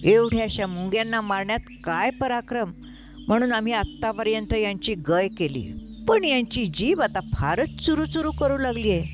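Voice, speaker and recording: A woman's voice: fairly high pitch, expressive, moderate pace, natural voice. A woman playing a haughty, commanding male elephant king in a told story. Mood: outraged, commanding.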